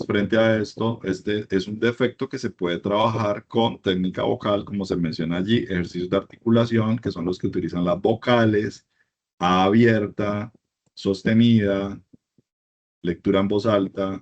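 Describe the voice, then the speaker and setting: A man's voice: low-pitched, darkish tone, dull audio. A middle-aged man from Colombia giving a university class lecture, emphatic and repeating his points in lists.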